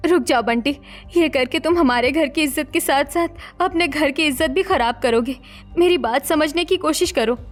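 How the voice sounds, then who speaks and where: A woman playing a protective, reproachful young wife in an animated family melodrama, speaking emphatically. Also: high-pitched, moderate pace, soft background music, lightly acted. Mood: pleading, firm. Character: distressed young married woman, protective, reproachful daughter-in-law.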